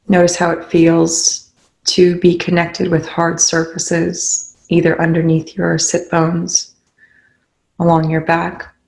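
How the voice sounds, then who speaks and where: A meditation leader guiding listeners in a soothing, unhurried way, with pauses between short instructions. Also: medium pitch, monotone, vocal fry, a little mellow, rough recording. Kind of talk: guided meditation.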